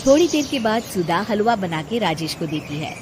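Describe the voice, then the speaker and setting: A woman's voice: mid-range voice, big pitch swings, normal speed. A woman narrating a scripted cartoon moral story, with background noise behind her.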